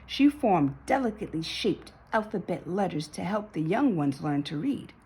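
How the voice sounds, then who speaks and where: A woman reading a children's story aloud in an expressive way, with dramatic emphasis and drawn-out words. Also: on the low side, slightly rough. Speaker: storybook reader.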